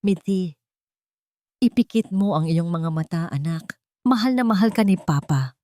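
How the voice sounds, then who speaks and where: A woman's voice: fairly low pitch, unhurried, dry recording, clean studio sound. A woman as an earnest, protective father. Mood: tender. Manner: calm, earnest, pleading. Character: protective billionaire father, resolute and tender.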